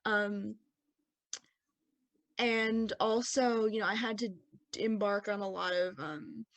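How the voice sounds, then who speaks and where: A woman's voice: mid-range voice, level tone, takes it slowly, dry recording. A woman chatting casually as a podcast actor and sound designer, explaining things in a rambling, self-correcting way. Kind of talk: casual panel chat, post-show commentary.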